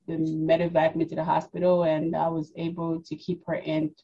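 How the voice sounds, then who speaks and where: Husky mid-forties woman, low mellow voice. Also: monotone, moderate pace, lo-fi sound. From Democratic Republic of the Congo, raised in New York. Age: 40s. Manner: reflective, many fillers and restarts. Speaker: podcast guest. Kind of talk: personal story in an interview.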